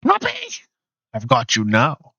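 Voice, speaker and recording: A tired man streaming a game, chatting casually in a low-key, rambling way, his voice slightly hoarse. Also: wildly swooping pitch, measured pace, pushed and bright, no room sound, highs cut off. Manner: low-key, rambling with frequent ums and uhs. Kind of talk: casual live-stream chat.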